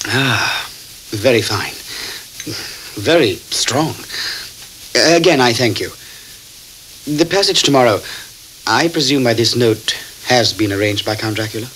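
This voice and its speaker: An actor playing a polite, businesslike gentleman traveler in a radio drama, speaking in a formal, measured way. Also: medium pitch, expressive, poor audio. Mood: courteous. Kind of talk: radio drama acting with first-person narration. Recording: hissy 1979 cassette tape recording.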